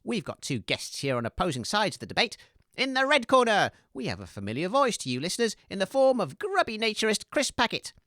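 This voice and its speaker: A woman's voice: mid-range voice, very animated, fairly quick, a bit bright, no echo, studio quality. Wildly swooping, showy debate host acted by a woman. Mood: showy, eager. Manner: theatrical announcing. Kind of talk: comedy audio sketch hosting.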